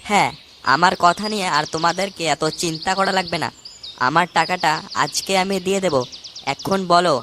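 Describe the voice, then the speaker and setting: A man's voice: sits high, steady tone, fairly quick, background noise, natural voice. A man voicing a cocky, scolding young friend who swears and boasts in a comic cartoon. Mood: confident.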